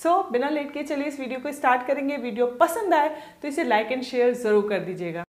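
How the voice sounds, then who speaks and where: A woman's voice: high voice, faint music behind. A young woman hosting a beauty channel, chatting through a sponsored hair product review and mixing English into Hindi. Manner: chatty, mixes English into Hindi, reads product names slowly. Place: indoor room with lamp and plants. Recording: echoey room.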